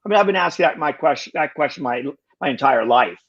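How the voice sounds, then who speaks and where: A man of about sixty, a guest in a casual conversation, talking in halting bursts with lots of restarts and wry self-deprecating jokes. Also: a little high, expressive, fairly bright tone, slightly rough, lo-fi sound. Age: 60s. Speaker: podcast guest.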